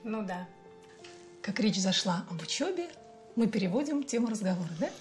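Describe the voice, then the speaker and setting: An actress playing a patient, playful mother in her forties with a Russian accent, gently explaining things to her child in a TV sitcom. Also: mid-range voice, expressive, normal speed, soft background music, lightly acted. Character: bilingual mother, patient, fond of teaching. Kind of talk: television sitcom acting.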